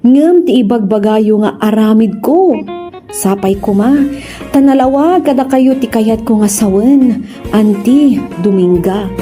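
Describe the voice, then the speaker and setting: A woman's voice: a little high, a little slow, over music, lightly acted. A woman with an Ilocano Filipino accent acting an aggrieved younger woman who talks back reproachfully in a radio comedy drama.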